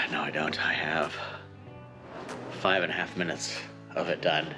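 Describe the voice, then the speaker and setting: A man talking casually and informally to camera as a family travel vlogger, unhurried and thinking aloud. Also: extremely low pitch. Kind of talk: casual vlog chat to camera. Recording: loud music under the voice.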